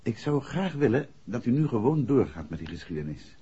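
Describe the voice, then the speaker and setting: An actor playing a composed, courteous private detective in a 1960s Dutch radio drama, with measured phrasing. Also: male voice, mid-range voice, very animated, warm tone, breathy edge, no room sound. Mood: courteous, reassuring. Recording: dull, muffled vintage radio drama recording.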